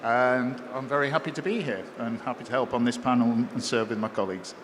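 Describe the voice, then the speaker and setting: A man, likely middle-aged, introducing himself as a CEO on an expo panel in a measured, polite way. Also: medium pitch, crowd noise. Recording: reverberant hall with faint noise.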